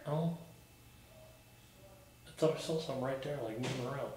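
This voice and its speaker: A man's voice: medium pitch, normal speed, a little mellow. A middle-aged man talks casually during a ghost hunt, calling out and commenting. His voice sits in an echoey room, picked up by a camcorder with faint noise. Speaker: paranormal investigator. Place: dark indoor room with rafters. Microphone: camcorder a short distance away.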